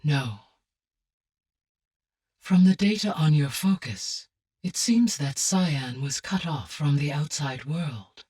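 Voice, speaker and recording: Casting: a calm female video game AI, low and breathy-husky, measured, exact and formal, heard slightly off-mic. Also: far from the mic, full character voice. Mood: measured, firmer. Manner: measured, formal, precise diction. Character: calm, analytical female artificial intelligence. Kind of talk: scripted video game dialogue.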